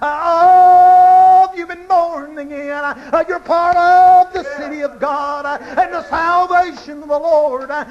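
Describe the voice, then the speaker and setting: A man's voice: extremely high pitch, fairly bright tone, poor audio. A man preaching a sermon in a rhythmic, chanted style. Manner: rhythmic preaching, constant "uh" between phrases. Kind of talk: chanted old-time sermon.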